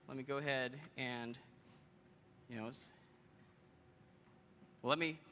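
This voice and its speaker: Energetic man demoing software on a stage. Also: mid-range voice. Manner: energetic, quick and joking with the audience. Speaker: presenter. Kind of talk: live software demo on stage. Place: large conference hall stage. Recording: hall reverb, faint noise.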